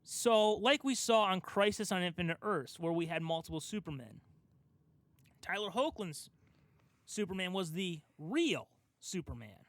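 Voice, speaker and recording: A man's voice: high voice, lively intonation, unhurried, fairly bright tone, dry recording. A young adult man hosting a casual pop culture podcast, talking through his argument step by step with emphasis. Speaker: podcast host.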